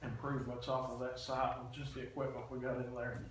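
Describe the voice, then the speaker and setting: A man explaining a report at a public meeting in a halting, matter-of-fact way. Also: medium pitch, flat delivery. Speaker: official presenting a radio system report to a committee. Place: indoor public meeting room. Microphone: distant room microphone. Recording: echoey meeting room, distant mic, faint noise.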